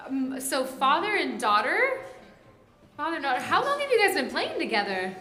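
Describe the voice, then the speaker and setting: A woman hosting a live music show, bubbly and eager with quick interjections. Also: high-pitched, sing-song, pushed and bright. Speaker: host. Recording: roomy venue with music behind.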